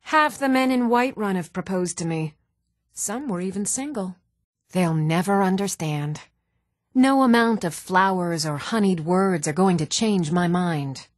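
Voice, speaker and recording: An actress playing an even-toned townswoman and shopkeeper in a fantasy video game, speaking in measured, clearly enunciated, theatrical phrases. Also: fairly low pitch, no echo, clean studio sound. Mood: indignant, wry. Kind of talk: scripted video game voice acting.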